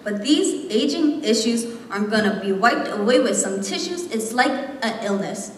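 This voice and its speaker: Young female slam poet, emphatic and rhythmic, higher voice, reverberant room with faint noise. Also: expressive, normal speed. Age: young adult. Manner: impassioned, rhythmic, emphatic delivery. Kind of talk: slam poetry recitation. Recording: echoey hall, distant mic, faint noise.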